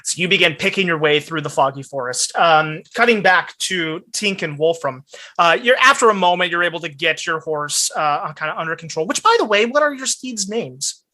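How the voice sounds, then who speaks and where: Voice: mid-range voice, expressive, moderate pace, sharp tone. A game master improvising tabletop narration, describing scenes conversationally and speaking straight to the players. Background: background music.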